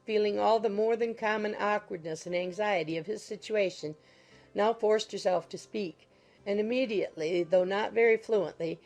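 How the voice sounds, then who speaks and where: A woman's voice: medium pitch, darkish tone. A woman reading scripted literary narration from a classic novel as an audiobook narrator.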